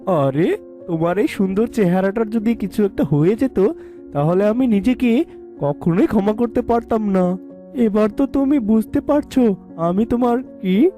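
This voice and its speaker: Cartoon father-in-law, middle-aged lecher, played by a woman: sly, self-excusing, very animated, mellow tone. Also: medium pitch, a little slow, soft background music, natural voice. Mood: leering, fawning. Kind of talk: dramatised cartoon story dialogue.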